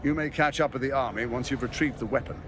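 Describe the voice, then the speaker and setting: A man's voice: medium pitch, steady tone, quick pace, noisy background, natural voice. An actor playing a smug, scheming male noble villain in a video game cutscene, sarcastic and condescending. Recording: game audio with loud score.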